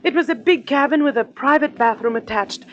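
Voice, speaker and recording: An actress playing an earnest, insistent young newlywed passenger in a 1940s radio drama, refined and emphatic in delivery. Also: female voice, high-pitched, fairly quick, highs cut off, close to an everyday voice.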